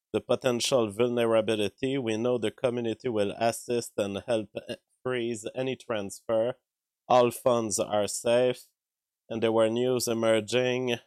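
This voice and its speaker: A man hosting a live stream, hoarse from a cold, talking in a halting way with many fillers and throat-clearing. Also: mid-range voice, dry recording. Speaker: livestream show host. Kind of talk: casual live-stream commentary reading chat. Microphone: streaming microphone.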